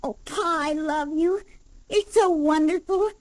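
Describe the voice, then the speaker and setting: An actress playing an eager, excitable young daughter, girlish and gushing, with an American accent in 1940s radio drama. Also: extremely high pitch, a little slow, no room sound. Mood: excited, adoring.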